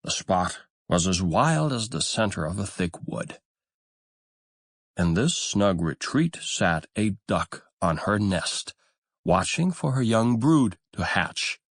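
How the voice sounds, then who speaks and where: A man narrating a fairy tale as a scripted audiobook, in a measured, expressive storytelling manner. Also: fairly low pitch, gravelly, husky, no room sound, studio quality.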